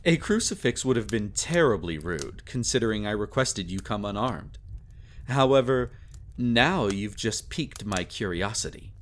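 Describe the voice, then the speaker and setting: A man's voice: on the low side, lively intonation, normal speed, slight background noise, lightly acted. A man playing a suave, sadistic vampire lord in a roleplay audio, speaking in a theatrical, courtly and teasing way with seductive menace. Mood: smug, probing. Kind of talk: scripted roleplay audio, intimate dramatic monologue.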